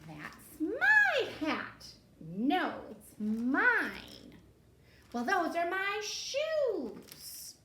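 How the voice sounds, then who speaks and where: A woman voicing excitable, squabbling witches from a children's storybook, exclaiming with stretched-out words. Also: extremely high pitch, very slow, pitched above the performer's own voice, exaggerated voice. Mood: indignant. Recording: roomy echo, faint background noise.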